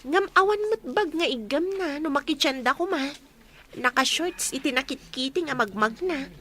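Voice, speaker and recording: Woman playing a high, bright, pert young woman. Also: animated, normal speed. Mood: gossipy. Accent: Filipino, Ilocano. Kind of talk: Ilocano comedy radio drama.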